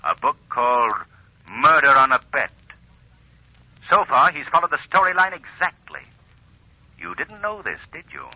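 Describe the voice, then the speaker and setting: Menacing crime fighter, 1940s radio mystery, very sharp forceful voice, animated pitch, dull old recording. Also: male voice, normal speed, pauses often, no echo, full character voice. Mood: stern, accusing.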